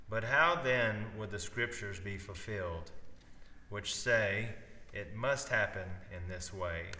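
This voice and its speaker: A man reading the part of Jesus, a grave, sorrowful teacher, solemnly and in measured phrases in a dramatic scripture reading. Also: fairly low pitch, sharp tone. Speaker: parishioner reading a Gospel part. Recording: roomy church echo, long reverb.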